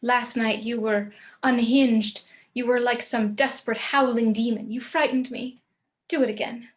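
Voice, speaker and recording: Elegant macabre matriarch, female actor, composed and romantic, fairly high voice, table-read drama. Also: steady tone, a little slow, lightly acted. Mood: seductive. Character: Morticia, elegant, morbidly romantic family matriarch.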